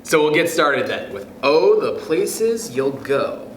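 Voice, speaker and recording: A young man reads a children's picture book aloud in a slow, gentle way, his fairly high voice rising and falling in a lively sing-song. He chuckles softly and turns to the young listeners with friendly asides. The room is echoey, with a faint hum behind him. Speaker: youth club storyteller.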